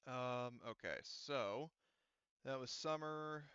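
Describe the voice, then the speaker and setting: A man acting as game master in an online roleplaying session, thinking aloud and haltingly working through rules and dice. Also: mid-range voice, a bit bright, clear, resonant tone, dry recording, highs cut off.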